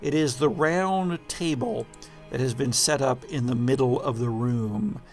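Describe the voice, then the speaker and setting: Slow-paced man narrating a horror game. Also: medium pitch, a little mellow, soft background music. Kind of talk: improvised scene narration for a tabletop horror game.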